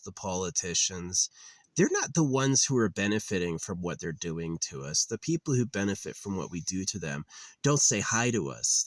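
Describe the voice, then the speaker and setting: A man's voice: low-pitched, no echo. A man in his late thirties musing in a rambling, weary monologue, with lots of fillers and restarts. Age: 30s. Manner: weary, resigned. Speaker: channel host.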